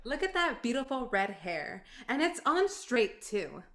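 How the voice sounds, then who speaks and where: A catty 1940s radio comedienne , played by a woman, speaks high and with lively swings. She is snide beneath a mock-sweet manner. The live recording has clear noise. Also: measured pace. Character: catty, snippy female radio comedy rival, affectedly sweet. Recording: noisy live stage recording.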